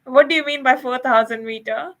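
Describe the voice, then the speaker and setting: Chatty young Indian woman, bright voice. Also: high voice, fairly quick. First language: not English. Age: young adult. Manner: chatty, laughs often, says "like" a lot. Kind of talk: casual chat.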